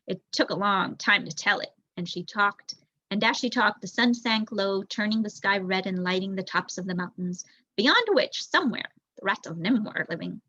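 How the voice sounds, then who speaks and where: A young woman reading a children's story aloud in an expressive narrating style. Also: medium pitch, moderate pace, no room sound, muffled recording. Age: young adult. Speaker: volunteer audiobook reader.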